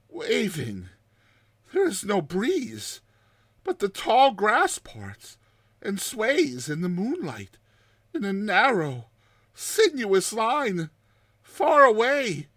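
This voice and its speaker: Man acting a haunted, terrified dreamer with swooping pitch. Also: a bit bright, no room sound, full character voice. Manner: intense and dramatic, drawing out words. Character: haunted, terrified man recounting a recurring nightmare, near madness. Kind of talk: dramatized horror short story reading.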